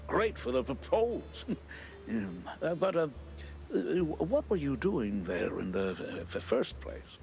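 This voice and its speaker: An actor playing a nervous, glad-handing cartoon politician who stammers and chuckles, in a 1930s-style game. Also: male voice, mid-range voice, big pitch swings, takes it slowly, a little mellow, a little hiss or hum, heavily acted. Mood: jovial, nervous. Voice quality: creaky.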